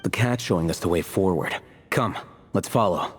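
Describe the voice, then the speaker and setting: An actor playing a calm, scholarly male investigator in a video game, formal and deliberate. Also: low voice, slight background noise, close to an everyday voice. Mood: calm, assured.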